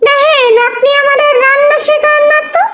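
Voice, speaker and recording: A woman's voice: very high voice, flat delivery, a little fast. A woman voicing a cranky, fault-finding older mother-in-law in a cartoon, scornful and complaining, with an East Bengali accent. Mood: indignant. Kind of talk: cartoon family comedy acting. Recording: loud background music under voice. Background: music.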